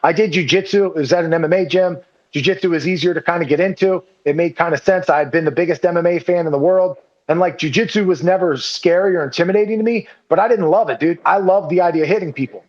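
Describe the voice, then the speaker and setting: A man talking loosely on a podcast, his voice sitting high for a man. He swears easily, leans on "dude" and "like," and laughs at himself as he rambles. The sound is dull and a little lo-fi. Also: quick pace. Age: under 40. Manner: swears freely, says "dude" and "like" constantly. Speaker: podcast guest. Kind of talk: casual conversational chat.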